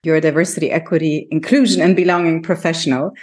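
Woman hosting a podcast, low voice. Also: fairly even intonation, fast, a little mellow. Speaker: podcast host. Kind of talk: conversational interview.

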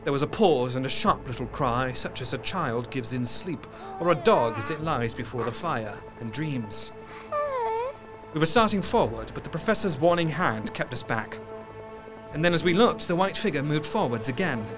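Haunted Victorian gentleman narrator played by a man, music behind. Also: medium pitch, natural voice. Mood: dread. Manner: measured, literary, expressive. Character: earnest, haunted Victorian gentleman recounting horrors. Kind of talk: dramatic scripted narration.

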